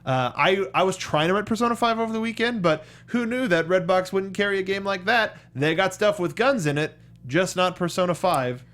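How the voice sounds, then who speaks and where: A man's voice: high-pitched, lively intonation, fairly quick, few pauses, fairly bright tone. A man co-hosting a talk show in an enthusiastic, slangy, casual chat about games and films.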